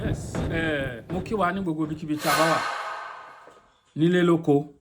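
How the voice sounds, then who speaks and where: A man's voice: fairly high pitch, fairly quick, heavy background noise. A man with a Yoruba Nigerian accent delivering a fiery, mocking political commentary, switching between Yoruba and English. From southwest Nigeria. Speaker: online commentator.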